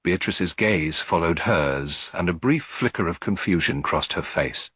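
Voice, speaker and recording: Deep, slightly husky male story narrator. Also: lively intonation, measured pace, a bit bright, no echo, studio quality. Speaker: audiobook narrator.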